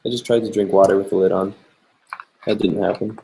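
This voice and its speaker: A young man, a travel vlogger, chatting casually and answering viewer questions in a relaxed, rambling way. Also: low voice, a little fast, vocal fry, a little hiss or hum, rough recording. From Canada. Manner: relaxed and chatty, many fillers, reads questions aloud.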